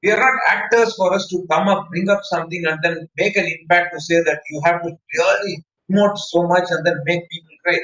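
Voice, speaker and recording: Bright-voiced Indian man, echoey distant call. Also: high voice, fairly quick, hardly any pauses. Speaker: public-speaking trainer. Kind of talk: online educational talk with personal anecdotes. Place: online session over video call. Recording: muffled, echoey online call recording.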